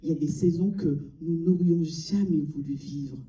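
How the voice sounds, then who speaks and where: A woman from Mali delivering a solemn, deliberate theatrical monologue, pausing between short phrases. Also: deep voice, mellow, muffled recording. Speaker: amateur stage performer. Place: large cinema auditorium before an audience. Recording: echoey hall, distant mic.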